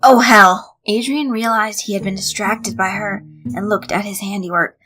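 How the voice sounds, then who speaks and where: Woman reading a story out loud, bright voice, bit of music behind. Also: medium pitch, fairly even intonation, normal speed, rough recording. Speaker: fan fiction reader. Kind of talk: scripted story narration with character voices. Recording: music under the voice. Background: background music.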